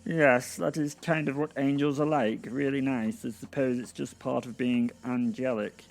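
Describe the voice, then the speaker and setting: A man voicing a nervous, polite little gatekeeper clerk in an audio drama, with a squeaky, trembling, hesitant voice. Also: fairly even intonation, moderate pace, creaky, close to an everyday voice. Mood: chatty, mild. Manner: hesitant, over-polite, fussy.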